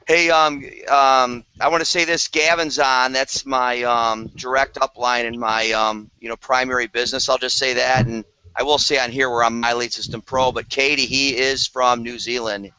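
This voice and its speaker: A man's voice: mid-range voice, little pitch movement, moderate pace, pushed and bright, clean tone, no echo. A man chatting enthusiastically on a group video call, rambling with fillers. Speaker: business team leader on a video call. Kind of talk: casual group video chat. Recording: dry, slightly dull call audio.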